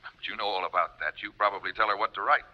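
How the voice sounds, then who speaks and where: A man's voice: fairly low pitch, fairly even intonation, fast talker, muffled recording, close to an everyday voice. An American man playing a brash, opinionated young theater director in old radio drama style, emphatic and wry. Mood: teasing, fond. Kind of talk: 1950s radio drama acting.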